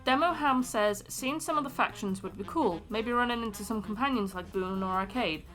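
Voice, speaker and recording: A woman's voice: medium pitch, fairly quick, a bit bright, faint music behind, low-quality recording. A woman co-host in a casual chat, reading out and discussing comments in an informal, joking, sweary way. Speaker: video co-host.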